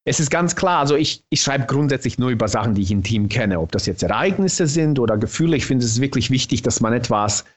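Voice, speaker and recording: A man with a Bosnian accent, native in Bosnian, talking thoughtfully about his own novel and pausing often to find the right words. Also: medium pitch, lively intonation. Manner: thoughtful, searching for words with restarts.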